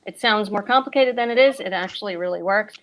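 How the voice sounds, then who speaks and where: A woman's voice: medium pitch, quick pace. A middle-aged American woman chatting casually as a guest bookseller and author, telling things in a chatty way with casual asides. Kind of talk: casual chat on a virtual event.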